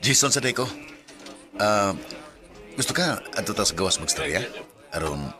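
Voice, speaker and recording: Deep-voiced, coaxing married seducer, Cebuano. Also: male voice, big pitch swings, normal speed, a crowd in the background. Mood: flirtatious. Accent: Cebuano Filipino. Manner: suggestive, coaxing. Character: married man, Jason, smooth secretive seducer. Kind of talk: radio drama acting in Bisaya.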